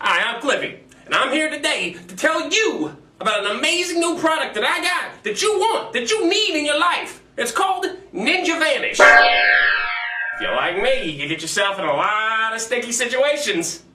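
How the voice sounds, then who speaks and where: A man playing a manic infomercial pitchman, shouty and emphatic, in a parody TV ad. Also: big pitch swings, normal speed, recorded from a distance, music in the background. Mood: excited sales pitch. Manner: emphatic, shouty salesman delivery. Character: manic infomercial pitchman hawking an escape gimmick. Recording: roomy, echoey, off-mic sound.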